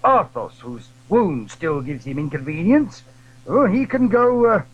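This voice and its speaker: A man plays a blustering soldier of old France in a period audio drama. The voice is high and husky, leaping about in pitch. The recording is dull and lo-fi. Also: very animated, measured pace. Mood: brisk, commanding. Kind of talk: audio drama acting of a classic adventure novel.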